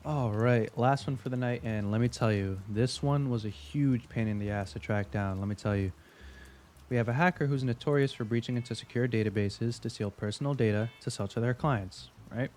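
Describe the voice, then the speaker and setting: A man's voice: mid-range voice, brisk, darkish tone, a little hiss or hum, close to an everyday voice. A man playing a chatty veteran investigator in an audio drama, giving a briefing in a casual, wry way.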